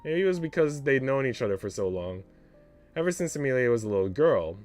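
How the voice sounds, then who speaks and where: A man's voice: mid-range voice, very animated, a little fast, darkish tone. A man reading a visual novel aloud as scripted narration with character voices. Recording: music under the voice. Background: background music.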